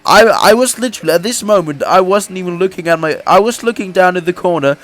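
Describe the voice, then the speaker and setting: A man's voice: high-pitched, fast. A young man who says he is sick, chatting through his racing game career race, laughing often and restarting his sentences. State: sick, voice a little off. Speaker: gaming YouTuber.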